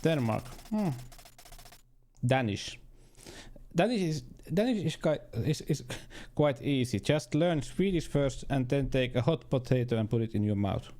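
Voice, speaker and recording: A man with a Finnish accent, a native Finnish speaker, giving casual livestream commentary as he plays, haltingly and searching for words. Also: mid-range voice, lively intonation, dark tone. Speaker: video game livestreamer. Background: game music.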